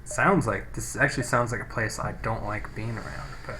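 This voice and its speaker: A man giving casual, sweary video game commentary as a let's play host, thinking out loud, recorded on a poor-quality microphone. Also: lowish voice, normal speed. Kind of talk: casual gameplay commentary with read-out game text. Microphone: low-quality home microphone. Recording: noisy cheap microphone, poor audio.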